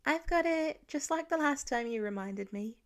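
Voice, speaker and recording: A woman's voice: high-pitched, expressive, moderate pace, pitched above the performer's own voice. A woman voicing a hesitant, earnest young daughter in a storybook reading. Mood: sheepish, cheeky. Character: hesitant, sheepish young daughter with some attitude.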